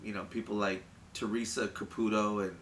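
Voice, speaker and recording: Rambling bright-voiced young guy. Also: lowish voice, little pitch movement, moderate pace, a little hiss or hum. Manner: rambling, full of likes and restarts. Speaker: podcast co-host. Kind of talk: casual chat.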